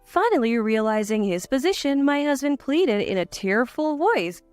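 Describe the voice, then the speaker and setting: High, animated woman narrating drama. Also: moderate pace, smooth, no echo, soft background music. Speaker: story narrator. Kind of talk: scripted first-person dramatic narration.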